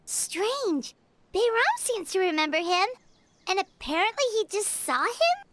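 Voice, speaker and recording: A woman's voice: very high voice, big pitch swings, a bit bright, heavily acted. A woman voicing a tiny, chatty female fairy-like companion in a video game. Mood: curious. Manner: chatty, eager, talks in quick bursts.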